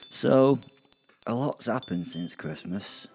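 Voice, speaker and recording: Man playing British werewolf narrator, fairly low, sardonic, sweary, rambling, confiding, audio drama, soft music underneath. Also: animated, takes it slowly. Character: sardonic, foul-mouthed male werewolf serial killer narrator. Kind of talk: dramatised first-person narration to listeners.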